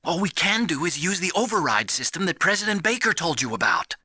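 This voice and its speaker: High, bright, nerdy hacker. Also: male voice, big pitch swings, a little fast, breathy edge, no echo, exaggerated voice. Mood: earnest. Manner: eager, explanatory. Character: nerdy, excitable male computer hacker, earnest and boastful. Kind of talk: dramatic video game voice acting.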